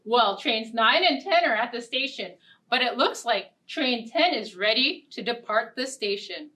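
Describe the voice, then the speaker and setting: High-voiced young woman hosting children's storytime. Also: animated, sharp tone. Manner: clear, simple, deliberate phrasing for small children. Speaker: children's library storytime host. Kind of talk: children's storytime with singing and simple narration. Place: indoor storytime set. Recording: distant mic, ukulele music. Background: ukulele strumming.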